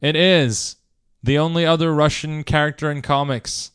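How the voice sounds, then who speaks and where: A man's voice: on the high side, steady tone, normal speed, a bit bright, clear, resonant tone, dry recording, clean studio sound. A man casually quizzing a friend on comic character names, playful and laughing along. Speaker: quiz host. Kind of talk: casual chat.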